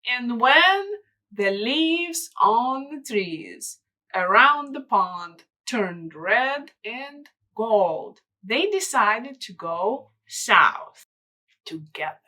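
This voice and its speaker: A woman's voice: on the high side, sharp tone, poor audio. A woman reading aloud and informally teaching children, explaining things simply step by step. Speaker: children's story reader and drawing teacher. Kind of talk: read-aloud and informal craft lesson for children.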